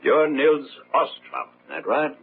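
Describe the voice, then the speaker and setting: An actor playing an older, gray-haired multimillionaire patriarch in a radio drama, gruff, bossy and full of contempt. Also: male voice, medium pitch, big pitch swings, slow, music in the background. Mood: brisk, commanding. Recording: muffled phone-like old radio sound, faint noise.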